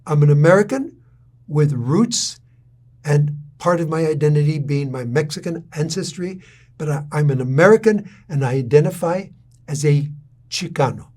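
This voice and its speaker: A middle-aged man from Texas, giving a lecture in a measured, deliberate storytelling way. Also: on the high side, expressive, a little mellow. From San Antonio, Texas. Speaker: university lecturer.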